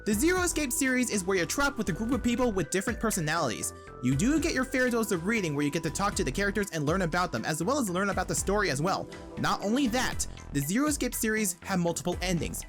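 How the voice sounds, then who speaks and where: Video essay host, mid-pitched voice, brisk pace, wry scripted narration, music behind. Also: big pitch swings, fairly bright tone. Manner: wry, irreverent. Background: background music.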